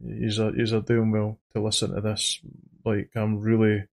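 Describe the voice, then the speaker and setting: A man with an Edinburgh Scottish accent, hosting a trading channel in a faceless voice-over, talking haltingly and repeating his words. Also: lowish voice, vocal fry. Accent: Edinburgh Scottish, toned down. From Scotland. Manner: halting, repeats words, says he sounds monotone. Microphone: studio microphone.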